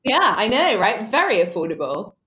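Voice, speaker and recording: A woman's voice: mid-range voice, sing-song, normal speed, a bit bright. A woman analyst explaining a security story on a podcast, articulate with a dry sense of humor, thinking aloud. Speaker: threat intelligence analyst. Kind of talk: conversational analysis.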